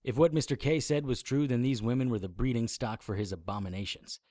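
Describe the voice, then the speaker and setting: An actor playing a grim, deadpan occult investigator who narrates his own adventure in a noir audio drama. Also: medium pitch, a little fast, no room sound, studio quality, close to an everyday voice. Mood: guarded, urgent.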